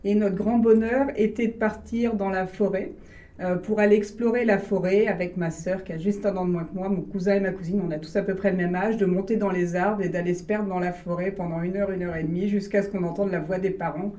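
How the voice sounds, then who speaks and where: A relaxed woman with a Parisian French accent speaks in a mellow, dark voice. She sits somewhat back from the microphone, so the sound is roomy, with echo around it. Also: mid-range voice, fairly quick, distant mic. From France. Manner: relaxed, laughs easily. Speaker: guest. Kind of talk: radio interview.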